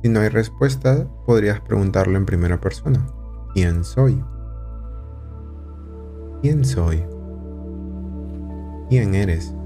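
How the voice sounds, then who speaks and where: A man leads a slow, thoughtful lesson, often pausing to check in with the listener. His voice sits low and mellow. Soft music plays faintly beneath him. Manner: slow, calm, guiding, asks rhetorical questions. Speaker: podcast host and teacher. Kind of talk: guided reflective lesson.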